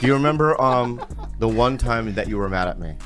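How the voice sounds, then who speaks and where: A man co-hosting a comedy podcast, chatting casually with halting, repeated words. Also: mid-range voice, lively intonation, faint music behind. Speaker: podcast co-host.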